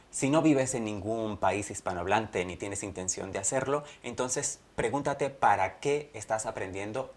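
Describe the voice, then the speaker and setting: A man teaching a Spanish lesson to learners in a measured, thoughtful way, often pausing and correcting himself. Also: fairly low pitch. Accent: not Colombian. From not Colombia. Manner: measured, thoughtful, with frequent hesitations and self-corrections. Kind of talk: explanatory video lesson to learners.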